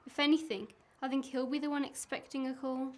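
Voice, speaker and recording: A woman plays a worried, weary wife in an amateur radio play. Her voice is high and she sounds resigned to her troubles. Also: level tone, fairly quick, lightly acted.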